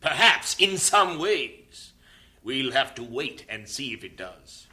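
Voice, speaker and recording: A man playing a fussy, lively-voiced mission director. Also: medium pitch, takes it slowly, heavily acted. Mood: stern, doubtful. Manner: clipped, formal British-sounding phrasing, "don't you know". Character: stern, fussy older male mission director.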